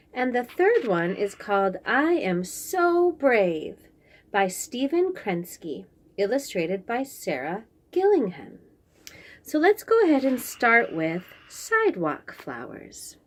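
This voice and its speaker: A woman reading a picture book to young children, describing the pages in simple short phrases and asking the listener questions. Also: mid-range voice. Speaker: children's read-aloud host.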